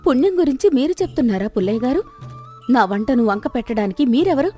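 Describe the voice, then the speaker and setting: A woman voicing a village wife who scolds and argues quickly in a fairly high voice. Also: animated, warm tone, husky, faint music behind. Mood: scolding. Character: sharp-tongued village wife, defending her cooking.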